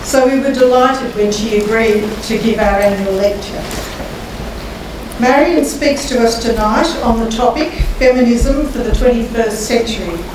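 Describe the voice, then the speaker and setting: A woman's voice: on the high side, normal speed. An Australian woman politely introduces a guest speaker with prepared remarks. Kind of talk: formal introduction. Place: large lecture hall. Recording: echoey hall, mic far off, noisy.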